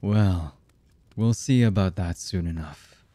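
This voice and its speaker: A man acting a very slow, deep, husky villain. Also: dark tone, no echo. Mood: cold, impatient. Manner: slow, menacing, mock-polite. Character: cold, heartless male villain boss, calmly threatening captives. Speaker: voice actor. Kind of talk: ASMR villain roleplay acting.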